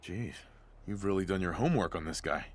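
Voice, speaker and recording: Deep-voiced, laconic adventurer. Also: male voice, a little fast, breathy edge. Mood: easygoing. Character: laconic, agreeable male adventurer, the detective's partner. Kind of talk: casual video game dialogue.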